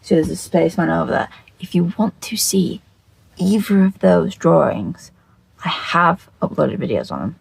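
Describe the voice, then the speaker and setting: A makeup video creator with a croaky, just-woken voice, chatting casually to camera in a scatterbrained, rambling, sweary way and repeating phrases. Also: medium pitch, animated, moderate pace, rough recording.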